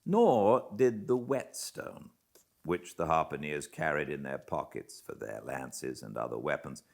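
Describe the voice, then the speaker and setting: British guy narrating an old novel, really low voice, slow and theatrical w/ dramatic pauses. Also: animated, gravelly. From Britain. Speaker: audiobook narrator and host. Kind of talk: expressive literary audiobook narration.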